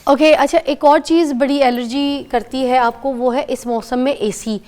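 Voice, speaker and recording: A woman's voice: high-pitched, fairly even intonation, unhurried, darkish tone. A woman with a Pakistani Urdu accent hosting a TV morning show, chatty and playful, with a sore throat.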